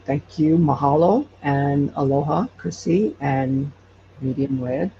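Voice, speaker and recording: A man talking casually as an interview guest, halting as he searches for words. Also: a little high. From Hawaii. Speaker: podcast creator guest. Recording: dull lo-fi call, loud noise.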